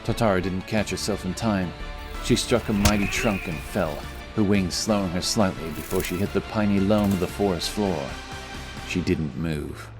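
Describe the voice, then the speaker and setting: A man's voice: low voice, normal speed, over music. A man reading scripted, dramatic narration for a fantasy adventure story.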